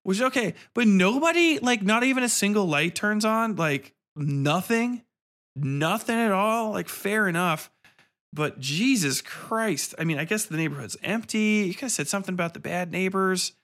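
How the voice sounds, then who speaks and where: A man's voice: sits high, animated, moderate pace, fairly bright tone. A man hosting a podcast, giving a casual, opinionated film review in a profane, incredulous manner.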